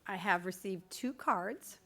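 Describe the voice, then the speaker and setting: A woman chairing a school board meeting, reading formal procedure in a measured, official manner. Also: mid-range voice, a bit bright.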